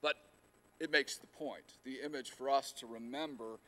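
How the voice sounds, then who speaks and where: A middle-aged male pastor preaching from the pulpit in an earnest, halting way, often restarting a phrase and leaning hard on key words. His voice sits at an ordinary pitch for a man. He speaks into a lapel mic in a church sanctuary. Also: fairly even intonation, pushed and bright. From United States. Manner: earnest, with frequent fillers and restarts, rising emphasis on key words. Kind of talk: sermon.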